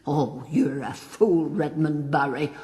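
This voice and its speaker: A woman's voice: low voice, slow pace, mellow, breathy edge, exaggerated voice. An actress playing an elderly Irish mother, suspicious, scolding and fiercely protective, in a period radio drama.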